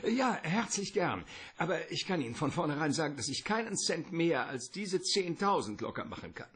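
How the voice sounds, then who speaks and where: A husky, courtly crime boss. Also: male voice, a little high, big pitch swings, moderate pace, fairly bright tone, heavily acted. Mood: suavely bargaining. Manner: elaborate, courtly, theatrical politeness. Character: wordy, courteous, calculating middle-aged male crime boss. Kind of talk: radio play detective drama acting.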